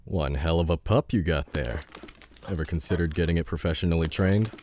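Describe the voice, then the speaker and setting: A man's voice: low voice, a little fast, darkish tone, slight background noise, close to an everyday voice. A man playing a huge, level-headed teammate with a dry, blunt way of talking. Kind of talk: audio fiction acting. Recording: music bed behind the voice.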